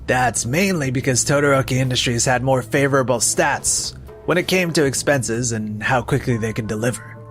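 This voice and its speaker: A man's voice: mid-range voice, normal speed, few pauses, close to an everyday voice. Defensive salesman, acted by a man, music behind. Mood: evasive. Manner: defensive, excuse-making. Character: evasive young salesman making excuses to his boss. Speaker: sales representative character. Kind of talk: scripted manga dub voice acting.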